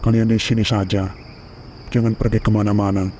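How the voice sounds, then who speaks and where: A man's voice: lowish voice, little pitch movement, brisk, noisy background, natural voice. A man voicing a secretive old village uncle. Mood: evasive. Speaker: voice actor. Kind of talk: cartoon horror voice acting. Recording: clear music under voice.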